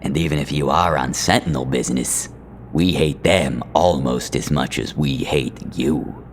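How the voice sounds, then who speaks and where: A man's voice: rumbling low, unhurried, slight background noise. An actor playing a hateful male gang member who delivers contemptuous threats in an audio drama. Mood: menacing.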